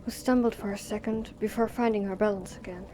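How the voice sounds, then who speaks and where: A woman narrates a scripted drama in a warm, dark-toned voice of average pitch. A faint ambient noise sits behind her reading. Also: steady tone, brisk.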